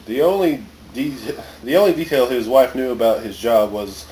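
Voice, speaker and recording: A man's voice: medium pitch, expressive, moderate pace, mellow, natural voice. A man playing a bumbling, overeager government agent in an amateur comedy skit. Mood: intrigued, self-important. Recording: echoey room with faint hiss.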